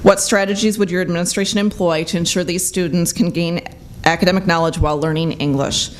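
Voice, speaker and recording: A forum moderator who briskly introduces candidates and reads out questions. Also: medium pitch, fairly even intonation. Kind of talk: moderating a panel.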